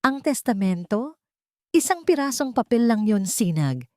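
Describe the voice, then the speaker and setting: A woman voicing a scheming wealthy grandmother who taunts in a mocking, drawn-out way, for an audio drama. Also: on the high side, very animated, dark tone, dry recording, clean studio sound, voice pushed up. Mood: gloating. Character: scheming wealthy grandmother villain, cold, gloating.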